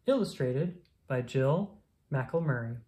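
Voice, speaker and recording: Storybook reader for young children: mid-range voice, animated melody, unhurried measured delivery. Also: mid-range voice. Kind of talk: children's picture book read-aloud, rhyming narration.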